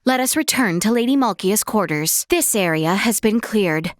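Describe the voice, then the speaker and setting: A woman voicing an earnest, dutiful young royal heroine in a video game, speaking in formal, archaic, measured phrases. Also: mid-range voice, expressive, no echo, studio quality, close to an everyday voice. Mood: composed, courteous. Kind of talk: video game character voice acting.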